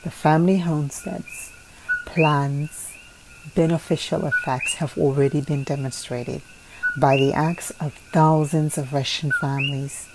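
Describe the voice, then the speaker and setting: Woman, low voice, solemn measured read of a declaration; noisy home recording. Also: fairly even intonation. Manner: solemn, measured reading with occasional slips and restarts. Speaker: narrator reading a declaration. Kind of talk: earnest read-aloud declaration.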